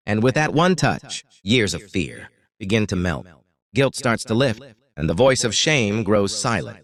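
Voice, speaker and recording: Man, guided meditation narrator, soothing, deliberate, short paused phrases, highly varied intonation. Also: no room sound.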